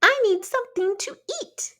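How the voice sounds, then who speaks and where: A woman's voice: extremely high pitch, normal speed, bright, forceful tone, pitched above the performer's own voice. A woman voicing a tiny, pushy, hungry bug that complains and demands in a children's storybook. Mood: whiny demanding.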